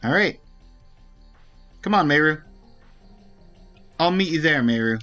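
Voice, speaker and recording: A man's voice: fairly high pitch, lively intonation, measured pace, pushed and bright, highs cut off. A man voicing a formal, dignified priestess with polite, earnest speech in a fantasy game read-aloud. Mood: firm. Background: faint game music.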